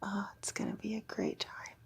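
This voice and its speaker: A woman voicing a creepy, teasing fox girl creature held in containment, whispering slowly in an ASMR horror roleplay. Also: lightly acted. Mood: sinister teasing. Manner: slow whispering, sinister teasing.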